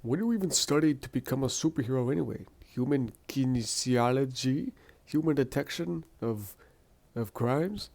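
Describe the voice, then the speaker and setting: A man's voice: mid-range voice, expressive, normal speed, gravelly, darkish tone. Man acting as sassy little female squirrel, comic sidekick, skeptical, nagging, indignant, comic dub.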